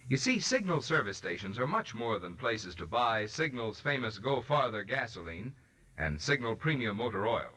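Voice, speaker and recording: Casting: man as 1940s radio pitchman, lively swooping delivery, crisp bright edge, polished salesmanship. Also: normal speed, poor audio. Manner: polished, promotional. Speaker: radio announcer. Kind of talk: 1940s radio sponsor read.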